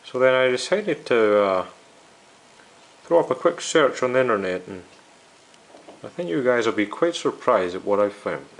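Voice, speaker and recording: A man's voice: medium pitch, expressive, normal speed, pauses often, low-quality recording. A man narrating an informal, unscripted hobby video as its host, in a downbeat, hesitant, explanatory way.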